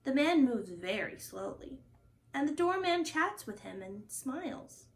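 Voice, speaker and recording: Animated, high-voiced woman reading a storybook. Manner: expressive, deliberate phrasing for young listeners. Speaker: storytime host and reader. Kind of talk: children's picture book read-aloud.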